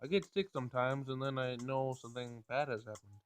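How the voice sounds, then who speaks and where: A man's voice: mid-range voice, steady tone, brisk, smooth. A man hosting a gameplay recording, reading a visual novel aloud and adding casual commentary of his own. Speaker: gameplay host. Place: home screen recording. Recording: music behind the voice.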